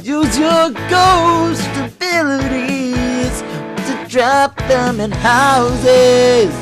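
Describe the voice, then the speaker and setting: A woman playing a gentle singer of a comedy ballad, accompanied by guitar. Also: sits high, drawn-out words. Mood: earnest. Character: gentle singing ghost giving friendship advice. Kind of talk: slow sung comedy ballad over guitar.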